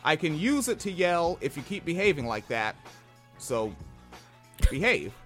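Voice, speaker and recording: High voiced dude from washington state, podcast cohost, swears a lot, pitch jumps all over. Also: male voice, unhurried, faint music behind. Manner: profane, animated, laughs often. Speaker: podcast co-host. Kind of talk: casual podcast chat.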